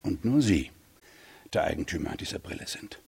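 A blunt cop, really raspy and creaky, short pushy questions, radio drama. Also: male voice, deep voice, animated, normal speed, a little mellow, heavily acted. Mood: stern, probing. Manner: terse, probing questions. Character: blunt, insistent male police detective questioning suspects. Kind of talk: radio play acting.

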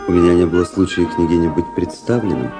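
An actor playing a cool, suave young Russian officer in a radio play, polite, understated and teasing. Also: male voice, low-pitched, quick pace. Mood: courteous. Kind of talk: radio play acting with first-person narration. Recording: music playing behind the voice.

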